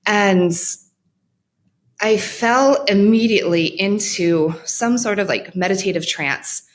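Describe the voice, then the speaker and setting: A woman guest, a mental health practitioner, telling a personal story in an interview in a reflective, halting way with frequent restarts and pauses. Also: medium pitch.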